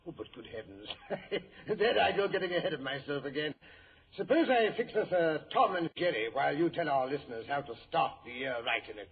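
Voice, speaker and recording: An actor playing a genial, venerable English doctor in 1940s radio style, with a British accent and a fussy, jovial, hesitant manner. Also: male voice, a little high, lightly acted. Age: older. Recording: tinny narrow-band 1940s radio broadcast.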